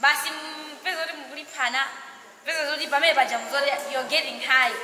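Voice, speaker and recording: A woman's voice: high-pitched, fast, sharp tone. A young woman with a Malawian accent speaking up in a group discussion. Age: young adult. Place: dim indoor hall. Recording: echoey distant hall, crowd murmur. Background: crowd.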